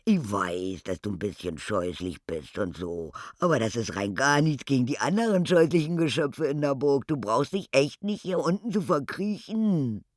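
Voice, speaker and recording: A man voicing a loud, jolly castle monster that booms and giggles. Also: big pitch swings, normal speed, hardly any pauses, dry recording, studio quality, natural voice. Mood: boisterous.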